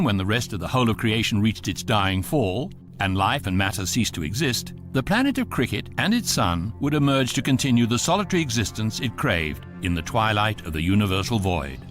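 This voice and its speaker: An actor playing the measured, dryly ironic narrator of a comic space guidebook in a radio drama. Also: male voice, mid-range voice, natural voice. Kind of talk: scripted narration.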